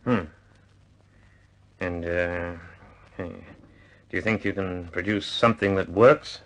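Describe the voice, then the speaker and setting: A man's voice: deep voice, steady tone, slow pace, a bit bright, slight background noise. An actor playing an exasperated senior British official in a vintage radio drama, stammering and emphatic as he blusters. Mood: grudging.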